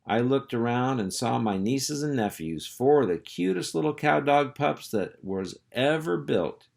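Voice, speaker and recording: A man narrates a children's book in an expressive storytelling style. His medium-pitched voice has a gravelly creak and moves around in a lively way. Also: a little slow. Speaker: storybook reader.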